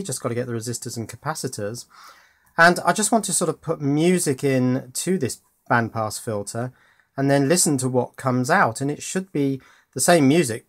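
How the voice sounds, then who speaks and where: A man hosting a casual hands-on electronics tutorial, informally thinking aloud as he works. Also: mid-range voice. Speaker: host.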